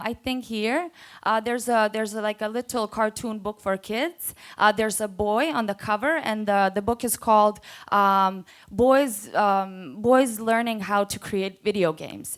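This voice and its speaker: A woman from Bosnia and Herzegovina with a Bosnian accent, a non-native English speaker giving an earnest, unscripted talk as a panelist at a conference workshop, with many filler pauses. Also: mid-range voice. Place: conference room.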